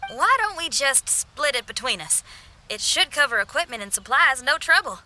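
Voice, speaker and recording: A woman's voice: sits high, very animated, normal speed, bright, forceful tone, a little hiss or hum, full character voice. A woman voicing a breezy, confident young female adventurer in a video game, with a folksy, casual, spirited manner. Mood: friendly, confident.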